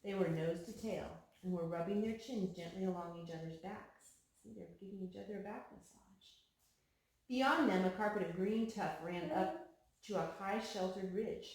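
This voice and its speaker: A woman reading a picture book aloud to young children, pausing to explain things to them. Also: mid-range voice, a little mellow. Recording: very echoey room with some noise.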